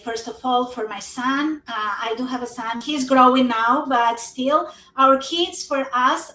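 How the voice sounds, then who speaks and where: Brief: woman in her 40s, high-pitched, earnest and halting, echoey and off-mic. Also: pushed and bright. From Albania. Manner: earnest, non-native English with frequent fillers. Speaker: guest. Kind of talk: casual conversation. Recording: echoey room, somewhat far from the mic.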